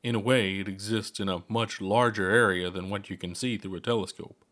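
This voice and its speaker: A man voicing a calm elderly alien scientist in an audiobook, kindly and measured as they explain things. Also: lowish voice, lightly acted. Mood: serious, explaining.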